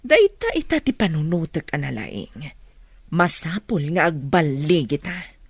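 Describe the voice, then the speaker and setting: A woman's voice: low voice, sing-song, measured pace, slightly rough, no echo, exaggerated voice. An actress playing a scheming, domineering middle-aged mother in an Ilocano radio drama. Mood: plotting.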